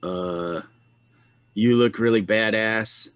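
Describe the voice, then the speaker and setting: A man chatting at a tabletop game in an unhurried, meandering way, filling gaps with "like" and "you know." He sits close to the mic with no room sound. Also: on the low side, smooth, no echo. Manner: rambling, hedging with fillers. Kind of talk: casual table chat.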